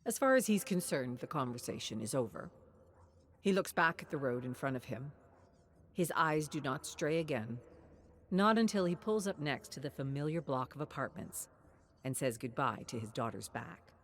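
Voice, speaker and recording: A woman reading a scripted story in a measured, expressive, dramatic way, sometimes dropping to a hush. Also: very deep voice, slight background noise. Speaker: narrator of game lore stories.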